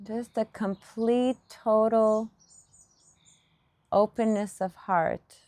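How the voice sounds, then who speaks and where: A woman leading a guided meditation as a teacher, giving gentle instruction in short, unhurried phrases with pauses between them. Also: a little high, fairly even intonation, no room sound.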